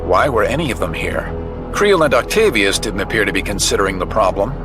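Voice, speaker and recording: A man's voice: lowish voice, animated, fairly quick, music in the background. A man giving dramatic scripted narration of a story as its narrator.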